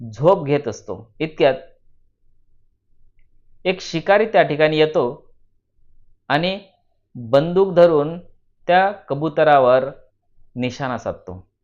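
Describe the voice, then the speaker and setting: A man from narrates a children's moral story in a deliberate, teacherly way, repeating phrases for emphasis. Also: fairly high pitch. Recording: dull lo-fi voice recording.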